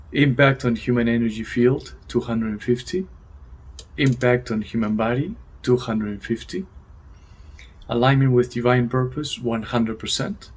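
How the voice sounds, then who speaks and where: A man with a mid-range voice reads out findings as a co-presenter. His delivery is deliberate and clipped, one item at a time, with faint ambient noise behind him. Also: mid-range voice, low-quality recording. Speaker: co-presenter reporting energy readings. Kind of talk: reading out a list of findings.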